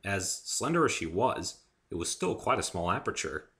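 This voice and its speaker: A man's voice: deep voice, big pitch swings, moderate pace, fairly bright tone. A man reading a first-person story aloud as a dramatic narrator.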